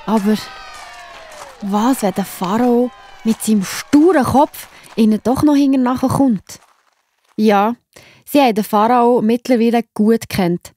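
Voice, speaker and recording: A woman with a Swiss accent narrating Bible stories for children in an expressive, enthusiastic, simple way. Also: fairly high pitch, unhurried, pauses often. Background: music behind the voice.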